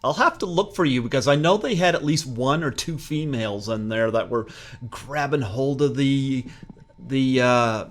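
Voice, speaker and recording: A man hosts an interview podcast in a relaxed, chatty way. He fills his pauses with uh and um and laughs easily. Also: medium pitch. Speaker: podcast host. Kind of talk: casual interview chat.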